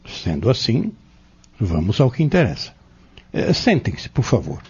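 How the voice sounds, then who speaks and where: An actor playing an earnest, patient spiritual mentor in an audio drama, courteous and explanatory. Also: male voice, mid-range voice, huge pitch swings, a little slow, a little mellow, husky, lo-fi sound, heavily acted. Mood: courteous, serious. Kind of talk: audio drama dialogue, didactic.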